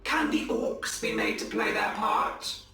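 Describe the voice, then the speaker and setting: A man playing a cautious, scholarly alien adviser in a video game, offering slow, deliberate counsel. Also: high voice, sharp tone. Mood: cautioning. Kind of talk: dramatic video game cutscene acting. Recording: echoey, distant, music behind.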